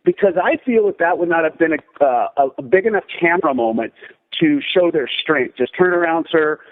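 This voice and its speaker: High-pitched, animated man on a tinny phone. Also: fairly quick. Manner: insistent, forceful, commanding. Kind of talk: urgent warning on a phone call. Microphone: telephone.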